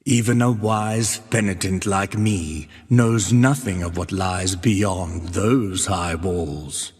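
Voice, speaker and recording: An actor playing a solemn, devout penitent who narrates and bears witness in archaic, measured speech in a video game. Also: male voice, low voice, fairly even intonation, breathy edge, exaggerated voice. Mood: grave, foreboding. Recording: long cavernous reverb.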